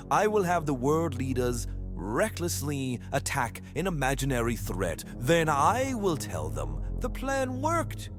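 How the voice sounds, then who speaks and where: A man plays a scheming world president in an audio drama, power-hungry and coldly villainous. The delivery is slow and theatrical, swinging widely in pitch with measured menace. Music plays softly underneath. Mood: gloating.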